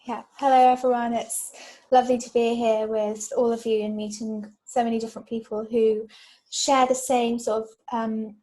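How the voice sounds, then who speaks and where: A woman's voice: a little high, little pitch movement, normal speed, dark tone, low-quality recording. A young woman introducing herself informally as a member of a community organisation on an online call. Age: young adult.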